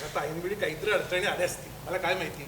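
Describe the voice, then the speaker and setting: A cheerful, placating husband, acted by a man in a stage play. The voice is bright and very high. The hall echoes, with faint noise behind. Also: steady tone, fast. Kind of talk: amateur stage play acting.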